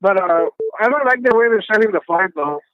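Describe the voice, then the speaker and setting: A man in his fifties from Texas, calling in from his car while driving, speaking politely in halting sentences with many restarts. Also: high voice, dry recording. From Texas, USA. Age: 50s. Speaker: caller. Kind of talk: phone call-in. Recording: dull phone line.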